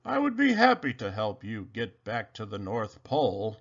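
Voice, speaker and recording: A man voicing a huge, friendly, helpful polar bear in a storybook, speaking in a kindly, deliberate way. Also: lowish voice, huge pitch swings, muffled recording, full character voice.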